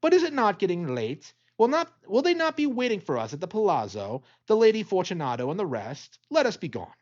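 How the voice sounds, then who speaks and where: A man's voice: high voice, wildly swooping pitch, a little fast, darkish tone, dull audio, pitched above the performer's own voice. A man voicing a drunken nobleman in an audiobook, desperate and exclamatory with forced jollity. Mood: desperately jolly. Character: drunken nobleman, walled up alive, desperately laughing and pleading. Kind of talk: dramatic audiobook character voice.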